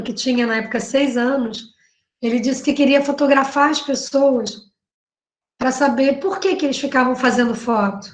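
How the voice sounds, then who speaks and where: A Brazilian woman speaks in a notably high voice, talking through her research like a professor at an online panel. She keeps it conversational, checks in with her listeners and corrects herself as she goes. Her voice rings a little in an echoey room. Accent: Brazilian Portuguese. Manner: conversational, frequent "né?" tags and self-corrections. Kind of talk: academic panel talk presenting her work. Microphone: video call.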